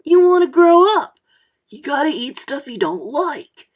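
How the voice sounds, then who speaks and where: Woman voicing a cocky, loud-talking pushy schoolboy. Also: high-pitched, sing-song, a little slow, voice pushed up. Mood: scornful, earnest. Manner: scornful, emphatic, bossy. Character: cocky, pushy fourth-grade boy lecturing his friend.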